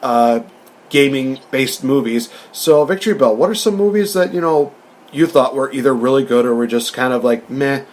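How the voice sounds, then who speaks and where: A man's voice: mid-range voice, moderate pace. A man co-hosting a podcast, talking casually and rambling as he acts out remembered remarks in a review discussion. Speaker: podcast co-host. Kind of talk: casual movie review chat.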